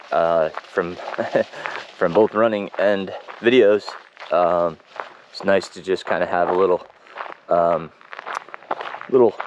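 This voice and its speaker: A man speaking in a casual running video diary, congested and froggy, reflective and halting with many ums and restarts. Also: on the low side, noisy background. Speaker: running vlogger. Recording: dull lo-fi home recording.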